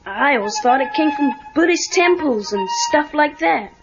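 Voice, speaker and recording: An actor playing an eager, wisecracking American teenage boy in film dialogue, with an animated, sarcastic way of talking. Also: extremely high pitch, normal speed, over music. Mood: curious. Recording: dull lo-fi cassette tape.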